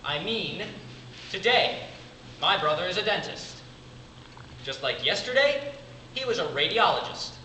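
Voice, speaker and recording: A man playing a weary, devoted big brother in a theatrical stage monologue, speaking deliberately with dramatic pauses. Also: high voice, expressive, bright, forceful tone, full character voice. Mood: earnest, emphatic. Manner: deliberate, dramatic pauses between short phrases. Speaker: amateur drama club actor. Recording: distant mic, echoey room, clearly noisy.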